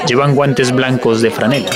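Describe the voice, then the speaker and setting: A man narrating a scripted radio drama in deliberate, measured phrases. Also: mid-range voice, fairly even intonation. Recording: loud music and crowd behind.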